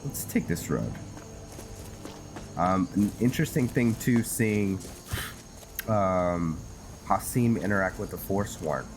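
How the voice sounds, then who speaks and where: A man with a very low voice talks his way slowly through a video game. He muses to himself in a halting, casual way, with clear music playing behind him. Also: expressive. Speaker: gameplay host narrating a roleplay playthrough. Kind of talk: casual game commentary, thinking aloud.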